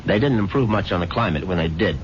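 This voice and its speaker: A wry man telling his tale, low voice, dull radio sound, clear hiss. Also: expressive, fast. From born in London, raised in Vermont. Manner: dry, wry storyteller. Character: Richard Moresby, pale-eyed wry Englishman. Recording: noisy lo-fi old radio recording.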